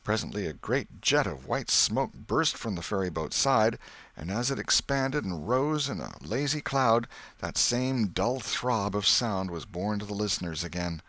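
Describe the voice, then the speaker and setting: A man reading a classic novel as an audiobook narrator, in measured bedtime storytelling style. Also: on the low side, lively intonation, fairly bright tone, no room sound.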